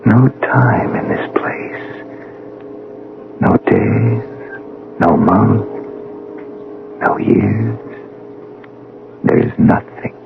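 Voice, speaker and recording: An actor playing a bitter, proud scientist son in an old-time radio drama, with a theatrical, emphatic delivery. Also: male voice, lowish voice, very slow, creaky, long pauses, phone-quality sound, background noise, exaggerated voice. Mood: bleak, brooding. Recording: band-limited radio drama recording.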